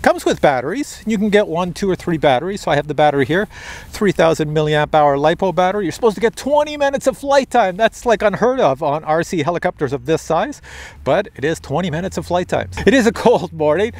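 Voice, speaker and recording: A man's voice: high voice, very animated, fairly quick. An older man from Canada chatting upbeat and explaining an RC helicopter outdoors in a park. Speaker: RC helicopter reviewer. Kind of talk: casual outdoor review vlog. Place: outdoors on a paved path in a park.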